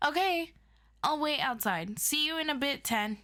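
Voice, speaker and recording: Woman playing an offhand, higher-pitched superhero best friend. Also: animated, very noisy. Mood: agreeable. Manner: casual, brisk, offhand. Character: impatient, casual young superhero best friend with fiery powers. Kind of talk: scripted audio roleplay.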